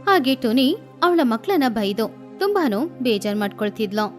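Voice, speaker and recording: A woman's voice: fairly high pitch, moderate pace. Woman narrating a children's cartoon story. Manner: steady, explanatory storytelling. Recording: faint music behind.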